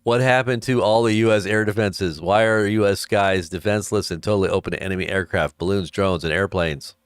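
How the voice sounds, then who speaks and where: A man's voice: lowish voice, steady tone, a little fast, smooth, no echo, studio quality. A man hosting a casual live stream, reading news headlines aloud and adding wry asides with chuckles. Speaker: host of an online ministry live stream.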